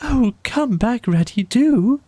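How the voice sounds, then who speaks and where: A man's voice: very high voice, expressive, slow pace, warm tone, no echo, pitched above the performer's own voice, exaggerated voice. A man voicing an anxious, tearful storybook mole who stammers and pleads in a flustered, apologetic way. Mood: flustered pleading.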